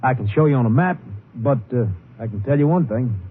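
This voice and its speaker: A man's voice: medium pitch, lively intonation, a little fast, natural voice. Harried foreman played by an actor, muffled radio sound. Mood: helpful. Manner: plain-spoken, folksy, harassed. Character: harried, gruff but helpful male cotton compress foreman. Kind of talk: 1940s-50s radio drama acting. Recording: muffled, noisy old radio recording.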